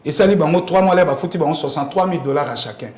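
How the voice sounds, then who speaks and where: A man's voice: a little high, racing, a little mellow, roomy sound. A man with a Congolese accent explaining politics emphatically in an interview, often asking rhetorical questions. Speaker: interview guest commenting on politics. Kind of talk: animated political interview talk.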